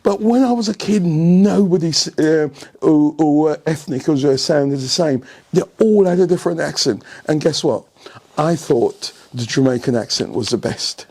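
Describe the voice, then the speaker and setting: Higher-voiced older man. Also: moderate pace. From England. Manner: animated anecdotes with rhetorical questions and repeated checks for agreement. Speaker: interviewee, senior football coach. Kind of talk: storytelling in an interview.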